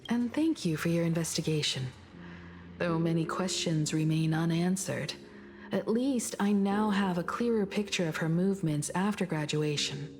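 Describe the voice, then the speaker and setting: An actress playing a composed adult woman who speaks calmly and earnestly about an old friend, in a game. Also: on the low side, moderate pace, a little hiss or hum, close to an everyday voice. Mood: reflective. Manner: calm, reflective and earnest. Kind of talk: game voice acting. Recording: game audio over loud music. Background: game music.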